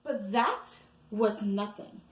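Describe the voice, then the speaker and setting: A woman's voice: mid-range voice, slow pace, echoey room, lightly acted. A woman acting a heartbroken young woman in a stage monologue, earnestly pleading with her fiancé. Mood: hurt, pleading.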